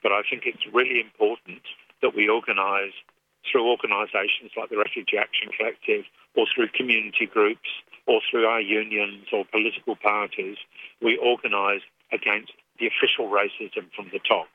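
A man with an English accent, speaking as an activist guest in a radio phone interview, earnest and measured with frequent restarts. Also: on the low side, flat delivery, a little hiss or hum. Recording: tinny phone line.

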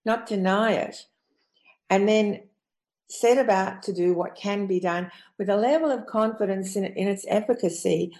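A woman giving her opinions in a measured way as a guest in a panel discussion. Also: mid-range voice, darkish tone. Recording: echoey room sound.

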